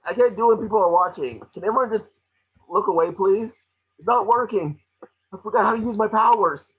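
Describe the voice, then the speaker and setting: A man voicing a small wisecracking Pikachu detective, excitable, sarcastic and fretful, in an amateur table reading. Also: high voice, a little fast, husky, dull audio. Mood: nervous, pleading.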